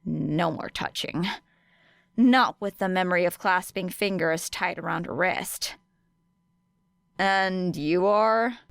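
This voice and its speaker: A woman's voice: on the low side, fairly even intonation, unhurried, gravelly, no room sound. A woman reading a story aloud as a scripted third-person audiobook narration. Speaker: audiobook reader of fan fiction.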